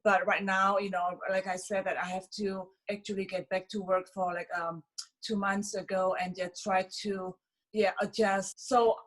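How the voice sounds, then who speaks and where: A woman's voice: medium pitch, level tone, moderate pace, low-quality recording. Chatty woman from Indonesia. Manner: chatty, many fillers like "you know" and "like". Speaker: fashion influencer, interview guest. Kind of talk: casual interview answers.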